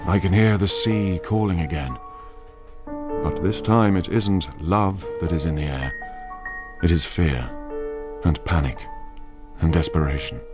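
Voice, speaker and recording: Radio drama narrator: darkly literary English storyteller, low register, over clear music. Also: male voice, unhurried, long pauses. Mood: ominous. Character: calculating crime-writer narrator, darkly reflective.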